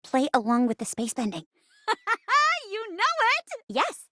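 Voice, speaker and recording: High, bright, melodramatic theater girl. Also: very animated, a little slow, dry recording, clean studio sound, exaggerated voice. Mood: playful, bubbly. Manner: melodramatic, bubbly stage-show delivery. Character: theatrical, excitable female chair character who stages plays. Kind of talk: video game character voice acting.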